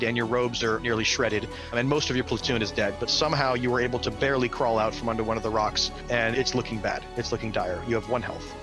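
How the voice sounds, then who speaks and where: A man working as a game master, giving dramatic narration for a tabletop fantasy game. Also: medium pitch. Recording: loud music behind the voice.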